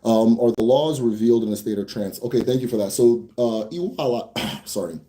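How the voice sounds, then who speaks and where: A young American man hosting a casual Q&A for viewers. He talks bluntly, swears freely, rambles a bit, then slows down to explain. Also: medium pitch, mellow. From United States. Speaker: host answering viewer questions. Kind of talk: casual livestream Q&A.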